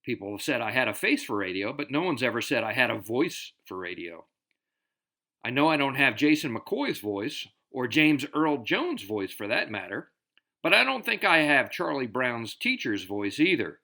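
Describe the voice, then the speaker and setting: Guy sending in a question, bright voice, bouncy pitch, pokes fun at himself. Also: very animated, normal speed.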